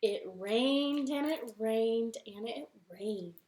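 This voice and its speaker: Storytime lady reading to kids, slow n singsongy, echoey living room. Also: on the high side, warm tone. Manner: cheerful, sing-song, talking to children. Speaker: library storytime host.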